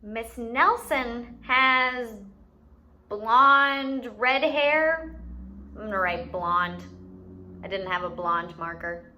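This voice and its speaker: A woman's voice: on the high side, drawn-out words, highs cut off. A woman hosting a children's educational activity, playfully giving instructions and asking questions as if to young kids. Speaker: children's story time host and teacher.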